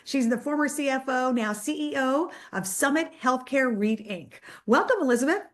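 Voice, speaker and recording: A woman's voice: high voice, normal speed. A woman hosting an online executive panel, introducing guests in an upbeat, chatty way with many fillers. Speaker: host.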